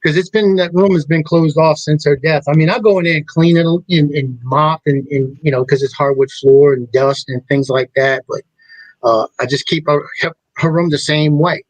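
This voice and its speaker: A middle-aged man hosts a casual talk show, his voice sitting a bit high for a man. He rambles and stops to restart his sentences, and the sound is close and dry with no room around it. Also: steady tone, no echo, rough recording. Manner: rambling, halting, with many restarts. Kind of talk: casual chat.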